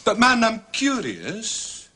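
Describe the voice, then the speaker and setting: An actor playing a dogged, sceptical police inspector with a husky, deliberate delivery. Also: male voice, high voice, wildly swooping pitch, bright, forceful tone, heavily acted. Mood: probing. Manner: deliberate, insistent.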